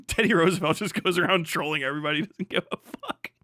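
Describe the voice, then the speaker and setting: A man with a high, bright voice riffs casually as a live streamer. He is animated, slangy and profane, in a comic, off-the-cuff style, recorded dry. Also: brisk, no echo. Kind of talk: casual comic riffing on a livestream.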